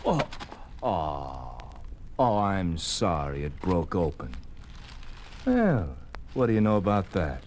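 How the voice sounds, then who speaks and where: Brash henchman, low lively voice, slangy, leering, old radio drama. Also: takes it slowly, pauses often, exaggerated voice. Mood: sly, leering. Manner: cocky, slangy, glib. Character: brash, leering totalitarian secret police henchman. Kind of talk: 1950s radio drama acting. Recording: hissy, noisy old radio recording.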